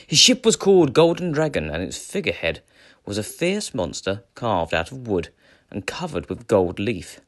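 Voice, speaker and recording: A man reading a children's adventure tale aloud as a storyteller, with dramatic, measured phrasing. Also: fairly low pitch, big pitch swings, a bit bright. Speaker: storyteller, teacher.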